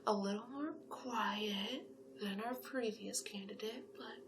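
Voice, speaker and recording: A woman plays a female monster matchmaker, chatty and encouraging, confiding in a client as part of an ASMR roleplay. The delivery is unhurried and gushing, with plenty of creaky fry. Faint noise sits behind the voice. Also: medium pitch. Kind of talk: horror roleplay ASMR, one-sided conversation.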